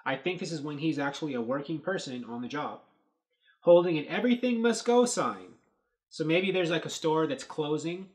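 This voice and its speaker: A man in his thirties, hosting a casual commentary on movie set photos, thinking aloud with lots of fillers, recorded at a desk webcam in a small dark room. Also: fairly high pitch, lively intonation, moderate pace. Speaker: host.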